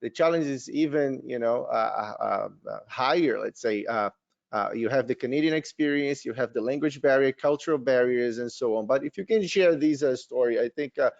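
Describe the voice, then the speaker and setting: A man's voice: mid-range voice, normal speed, creaky. A man moderating a panel, asking questions hesitantly with many fillers. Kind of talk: panel discussion. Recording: muffled audio.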